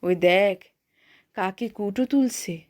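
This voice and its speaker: A woman voicing a gruff, stubborn old ferryman in a rustic village dialect. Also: medium pitch, slow, a little mellow, voice pushed down, lightly acted. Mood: knowing.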